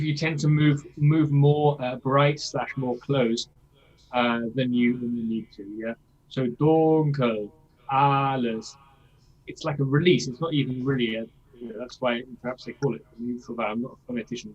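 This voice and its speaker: A man's voice: mid-range voice, husky, highs cut off. A man coaching a singer in a masterclass, explaining things and checking in with "yeah?" as he demonstrates lines himself. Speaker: vocal coach.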